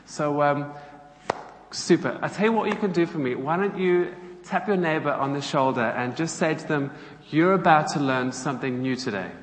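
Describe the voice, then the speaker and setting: A man's voice: on the high side, moderate pace. A man in his thirties with a South African accent, giving a chatty church talk as a guest speaker, often asking the congregation questions. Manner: chatty, engages the audience with questions. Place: church hall. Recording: echoey hall, faint ambience.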